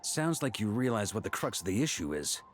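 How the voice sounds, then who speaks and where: An actor playing a seasoned, confident knight commander in a video game, explaining tactics in a measured, pragmatic way. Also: male voice, fairly low pitch, sing-song. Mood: serious, measured. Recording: music behind.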